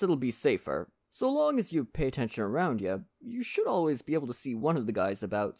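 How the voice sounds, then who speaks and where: A performer voicing a friendly, poetic male lumberjack, chatty and gently courteous, in an ASMR roleplay addressed to the listener. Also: mid-range voice. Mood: friendly, reassuring.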